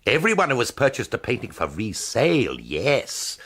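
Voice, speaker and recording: An actor playing an anxious, wry forger caught up in a murder case in a radio drama, hesitant and doubtful. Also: male voice, mid-range voice, wildly swooping pitch, normal speed, fairly bright tone, husky, no room sound, exaggerated voice. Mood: reasoning. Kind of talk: radio drama acting with first-person narration.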